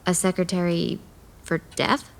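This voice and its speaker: Woman as a guarded young heroine, creaky voice. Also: on the low side, monotone, measured pace. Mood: guarded, questioning. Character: guarded, wry young woman who sees monsters. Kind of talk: audio drama acting with first-person narration.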